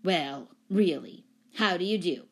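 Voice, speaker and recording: Woman acting a booming, commanding grande dame. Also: lively intonation, a little slow. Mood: flustered, formal. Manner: imperious, emphatic, fussy. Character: large, commanding, haughty lady tenant.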